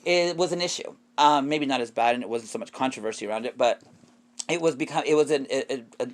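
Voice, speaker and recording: A man's voice: medium pitch, fast. A man in a casual, opinionated podcast discussion, telling things in a rambling way and correcting himself as he goes. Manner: rambling, self-correcting storytelling.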